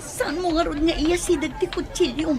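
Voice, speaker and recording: A woman's voice: very high-pitched, moderate pace, crowd noise. An actress playing a timid, frightened young servant woman who pleads meekly in a radio drama. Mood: nervous. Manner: meek, pleading, deferential.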